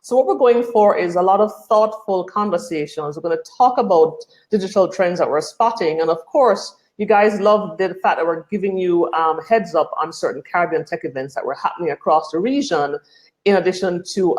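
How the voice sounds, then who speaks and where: A woman's voice: fairly low pitch, normal speed. Chatty woman hosting live. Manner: chatty, upbeat, many fillers. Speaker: host. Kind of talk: live online tech show hosting.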